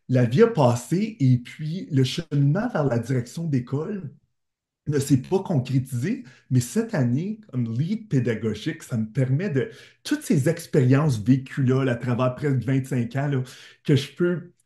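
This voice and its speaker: Voix d'homme de hauteur moyenne, ontarien, invité enthousiaste et sincère. On entend un écho de pièce marqué, typique d'un appel vidéo. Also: male voice, mid-range voice, expressive, normal speed, warm tone, echoey room. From Eastern Ontario, Canada. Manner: earnest, enthusiastic storytelling. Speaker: teacher, guest. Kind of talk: interview answers. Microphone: video call.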